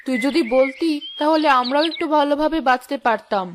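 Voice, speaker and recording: A woman's voice: high-pitched, brisk, background noise, lightly acted. A woman voicing a greedy, scolding middle-aged aunt who talks sharply and accusingly, in a cartoon. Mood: scheming. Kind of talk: cartoon voice acting.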